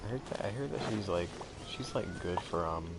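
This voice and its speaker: A man's voice: deep voice, expressive, a little fast, very noisy. A man giving casual gameplay commentary in a rambling, slangy way. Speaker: gamer playing and commenting.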